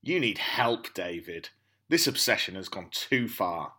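A man's voice: sits low, expressive, a little slow, sharp tone, breathy edge. A man co-hosting a podcast, chatting casually and reading his part in an amateur script read-through. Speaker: podcast guest co-host.

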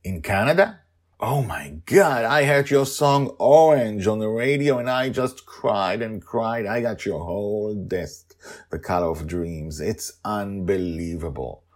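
A man's voice: fairly low pitch, lively intonation, unhurried, voice pushed up. A man voicing a cheerful, starstruck mother who gushes and stumbles in effusive exclamations. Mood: starstruck gushing. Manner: effusive, breathless exclamations and hesitations. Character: gushing starstruck woman, cheerful and flustered mother.

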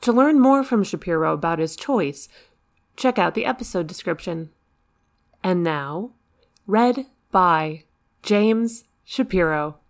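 Low-pitched woman, scripted podcast introduction. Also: lively intonation, slow pace. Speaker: podcast host.

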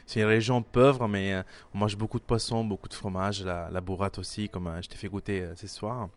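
Young chef speaking French, low voice. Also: male voice, steady tone, brisk. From Italy, grew up in Milan. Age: 20s. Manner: hesitant, many fillers and restarts. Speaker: chef and restaurant owner. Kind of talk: casual interview answers.

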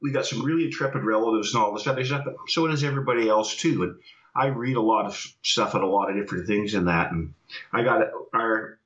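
A man's voice: on the low side, fast talker, fairly bright tone. A man calling into a show over a video call, chatting casually and fumbling with the buttons. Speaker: caller. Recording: roomy, dull video call audio.

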